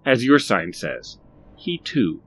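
A man voicing an eerie, overly formal little male stranger who speaks slowly and precisely. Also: mid-range voice, very animated, a little hiss or hum. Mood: measured.

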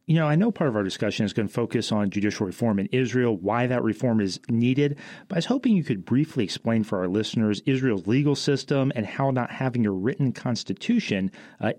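Lowish-voiced American podcast host, male. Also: expressive, fairly quick, a little mellow, dry recording. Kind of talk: prepared interview questions.

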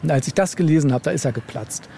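Male author as interview guest, slightly high mellow voice, halting casual storytelling, noisy ambience. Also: vocal fry. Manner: halting, repeats words, frequent tag "ne?". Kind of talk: casual conversational interview, telling anecdotes.

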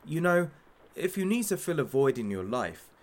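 A man's voice: a little high, sing-song, normal speed. An actor playing a masked kidnapper who hosts a sadistic hostage talk show in an audio drama, as a mocking, theatrical showman. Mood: needling.

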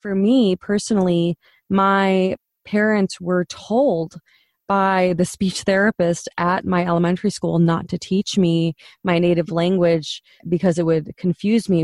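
A woman's voice: on the low side, darkish tone, no echo, studio quality. A young woman hosting a podcast, moving between casual interview talk and scripted voice-over, thoughtful and with small restarts. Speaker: podcast host.

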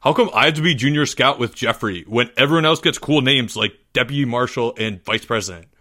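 A man's voice: medium pitch, a little fast, bright, forceful tone, close to an everyday voice. A man voicing a disgruntled schoolboy junior scout who grumbles indignantly.

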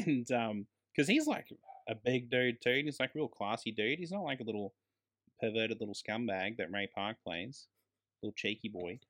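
A man's voice: mid-range voice, animated, normal speed, a bit bright. A man co-hosting a podcast, chatting casually about films as an exasperated fan, with slang and swearing. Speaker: podcast co-host. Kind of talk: casual film review chat.

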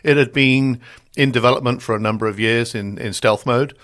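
A middle-aged man with a British accent answering interview questions as a guest expert, with hesitant, pause-filled phrasing. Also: mid-range voice, fairly bright tone. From Britain. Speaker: podcast guest, security strategist.

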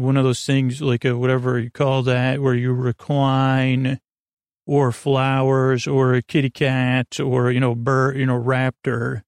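A man hosting a bedtime podcast, rambling in a halting, tangential way with trailing unfinished sentences and a gravelly voice. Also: mid-range voice, dry recording, studio quality. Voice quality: creaky, gravelly. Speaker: podcast host. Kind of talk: rambling bedtime monologue to help listeners fall asleep.

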